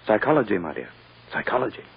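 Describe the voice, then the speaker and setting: A smug courtroom schemer from vintage radio drama. His voice is low and husky with a gravelly edge. He talks down to people in a deliberate, pompous way, ruthless beneath the silk. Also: very animated, full character voice. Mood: coldly menacing. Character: smug, ruthless, silky male prosecuting lawyer. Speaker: actor. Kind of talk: 1940s radio drama acting. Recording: muffled old-time radio recording.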